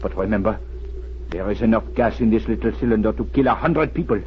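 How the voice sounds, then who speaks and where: An actor playing a gullible, proud old chemist who speaks haltingly and solemnly and is easily agitated, in old-time radio style. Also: male voice, lowish voice, a little hiss or hum, natural voice. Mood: solemn, resigned. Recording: dull old radio recording.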